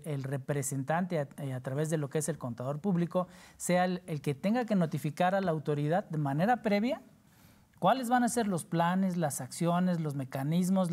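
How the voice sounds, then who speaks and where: A woman's voice: lowish voice, warm tone. A woman with a Mexican accent talking as a panelist on a discussion show, explaining in formal, wordy, roundabout phrases. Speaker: talk show panelist on tax matters.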